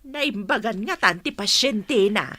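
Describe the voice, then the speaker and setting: An actress playing a brisk, grumbling older mother-in-law in a radio drama. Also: mid-range voice, expressive, bright, forceful tone, no echo, clean studio sound.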